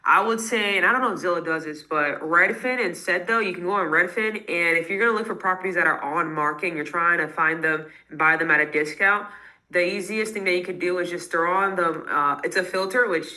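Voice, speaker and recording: Woman investor fielding call-in questions: bright, slightly low voice, casual, filler-heavy. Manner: fast, casual advice with many fillers. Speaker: real estate investor answering questions. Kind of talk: live Q&A call.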